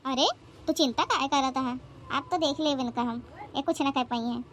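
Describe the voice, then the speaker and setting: Woman playing bold, very high-voiced Awadhi new bride. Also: moderate pace, a bit bright, slight background noise. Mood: coaxing, eager. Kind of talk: rustic comedy cartoon acting.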